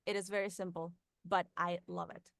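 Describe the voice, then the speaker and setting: A woman from northern Mexico speaking English with a Mexican accent, chatting as a guest in a friendly, lively way. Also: lowish voice, normal speed, gravelly, no echo, clean studio sound. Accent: Mexican Spanish. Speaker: voice actress, guest. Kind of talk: casual interview chat.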